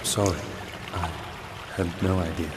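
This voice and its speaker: A man's voice: low-pitched, level tone, unhurried, noisy background. A man playing an earnest agent briefing his ally in a video game cutscene, matter-of-fact and conversational. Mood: concerned. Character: earnest male agent, informant ally to a monster hunter. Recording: long reverb, music behind dialogue.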